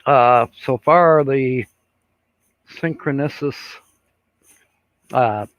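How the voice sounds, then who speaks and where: A man's voice: mid-range voice, very slow, dry recording. An older man hosting a casual live stream, rambling and chuckling, with many fillers as he reads out viewer comments. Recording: dull lo-fi sound.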